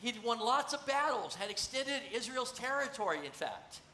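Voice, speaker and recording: A middle-aged man delivering a sermon with animated, conversational energy, his voice high and bright. He speaks on a church stage, and the sound carries a roomy echo with a faint hiss underneath. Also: moderate pace. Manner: animated, conversational, gesturing while seated on a stool. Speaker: pastor. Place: church stage, large room. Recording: echoey church room, faint noise.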